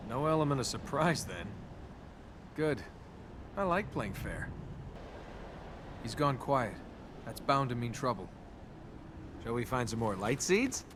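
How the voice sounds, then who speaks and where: A man's voice: mid-range voice, big pitch swings, unhurried, long pauses, noisy background. An actor playing a wisecracking young male adventurer in a video game, with a sardonic, quippy, wary manner. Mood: dry, sardonic. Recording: loud music behind the voice.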